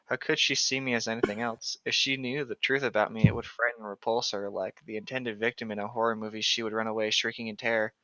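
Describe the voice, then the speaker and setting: A man with a mid-pitched, bright, forceful voice co-hosts a casual read-along, reading aloud and cutting in with mocking jokes. The sound is dry and slightly dull. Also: little pitch movement, fast, few pauses, dry recording. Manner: jokey, mocking. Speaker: co-host of a book read-along show. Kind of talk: casual joking chat with read-aloud passages.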